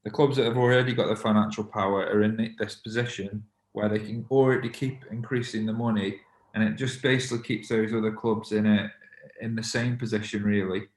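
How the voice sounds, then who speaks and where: A man in his thirties, a football fan, answering documentary interview questions in a measured, plain-spoken way. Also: fairly low pitch, fairly even intonation, reverberant, poor audio.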